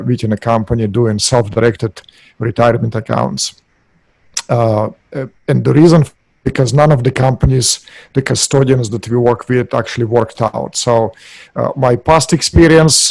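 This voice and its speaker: Middle-aged Russian-accented man, podcast guest, hesitant delivery, mid pitch, dry close mic. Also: darkish tone, dry recording. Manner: halting, many uh fillers. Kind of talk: podcast interview.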